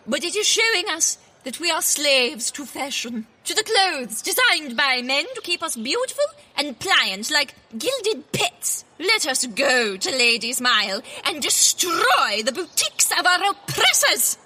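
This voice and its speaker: A woman's voice: high voice, sing-song, measured pace, few pauses, pushed and bright, full character voice. An actress playing an indignant, bossy lady rallying others in declamatory style in a comic audio drama. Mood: rousing, defiant. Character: indignant, bossy lady leading a park mob. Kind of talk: comedic audio drama acting.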